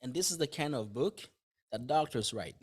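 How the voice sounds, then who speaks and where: A man's voice: mid-range voice, animated, normal speed, husky. A man whose first language is French, a guest on a podcast, talking casually in simple words and often restarting his phrases. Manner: thinks aloud in simple words, with frequent restarts and light laughs. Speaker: podcast guest, dentist and author. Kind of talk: casual interview conversation.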